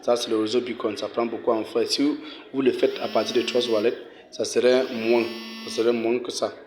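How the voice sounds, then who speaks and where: A man hosting a casual tutorial, walking viewers through each step, often saying "ok" and "euh" and clearing his throat. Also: mid-range voice, level tone, brisk, darkish tone, slight background noise. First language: French. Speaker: channel host giving a tutorial. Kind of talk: casual screen-recorded walkthrough.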